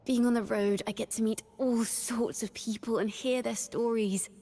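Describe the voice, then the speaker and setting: A woman voicing a young, horned wandering bard in a video game, a cheerful yet wistful poet who speaks in lyrical, expressive storytelling with a reverberant tail. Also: on the high side, normal speed. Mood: cheerful, chatty. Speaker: game character voice. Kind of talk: scripted character monologue.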